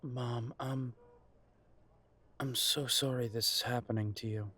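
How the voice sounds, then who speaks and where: A man's voice: mid-range voice, fairly even intonation, a little slow, pushed and bright, dry recording. A man acting a grown soldier son in an audio drama, direct but worried and a little hesitant with emotion. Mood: sorrowful. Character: blunt grown soldier son, worried about his mother.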